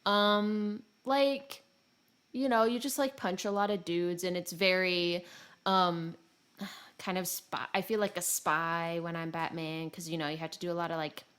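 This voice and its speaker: A woman's voice: lowish voice, fairly even intonation, a little slow, a bit bright. A woman chatting casually and informally about video games as a podcast co-host, rambling and self-deprecating.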